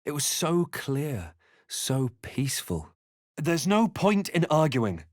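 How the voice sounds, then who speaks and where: An actor playing a brooding male adventurer in a fantasy game, narrating his quest in a measured, theatrical, grave manner. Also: on the high side, sing-song, darkish tone, dry recording, studio quality. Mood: wary. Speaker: player character in fantasy role-playing game. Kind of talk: dramatic scripted game voice lines.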